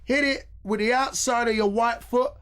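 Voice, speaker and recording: A man playing a male PE teacher calling out loud instructions to young boys in a radio story. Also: extremely high pitch, fairly even intonation, normal speed, smooth, pitched above the performer's own voice. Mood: urgent, instructing.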